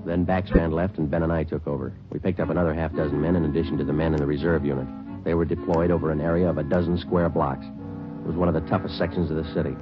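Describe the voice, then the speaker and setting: An actor playing a terse, level-headed American police detective, narrating his case in clipped, deadpan sentences for a 1940s radio drama. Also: very deep voice, fast talker. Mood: matter-of-fact. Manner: deadpan, matter-of-fact, clipped. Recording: muffled old 1940s radio recording, music behind.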